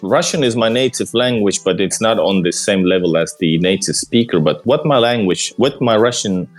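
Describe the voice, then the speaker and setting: A man's voice: on the low side, animated, moderate pace. A man from Finland, a travel vlogger talking casually and hesitantly, with many fillers and restarts. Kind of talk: casual conversation.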